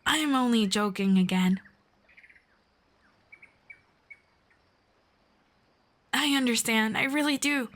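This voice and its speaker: A woman playing a friendly, calm forest elf in an ASMR fantasy roleplay, speaking in a measured, playful, gently sarcastic way. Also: a little high, close to an everyday voice. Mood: teasing, reflective. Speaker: roleplay voice performer.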